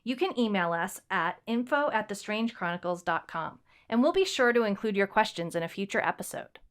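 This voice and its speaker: A woman's voice: medium pitch, animated, brisk. A woman co-hosting a podcast in a casual, chatty style. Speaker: podcast co-host. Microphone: podcast microphone on a boom arm.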